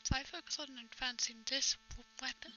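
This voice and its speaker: A woman's voice: high voice, fairly even intonation, fairly quick, pushed and bright, lo-fi sound. A woman game streamer casually thinking aloud as she plays. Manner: thinking aloud while playing. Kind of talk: casual gaming commentary. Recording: game music and mains hum behind. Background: video game music.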